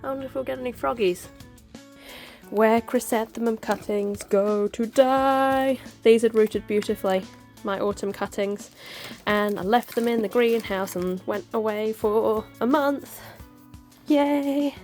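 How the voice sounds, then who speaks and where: A woman's voice: on the high side, a little slow, a little mellow, soft background music. A woman in her thirties chatting casually in a gardening vlog, stuffy-nosed and nasal from a cold, rambling with lots of ums. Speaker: gardening vlogger. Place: backyard greenhouse.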